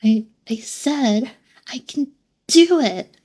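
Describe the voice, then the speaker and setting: A woman voicing a gentle, curious female android in an ASMR roleplay, soft-spoken and formal, with hesitant pauses. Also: on the high side, expressive, noisy background. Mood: stubborn, flustered. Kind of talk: ASMR roleplay, scripted monologue.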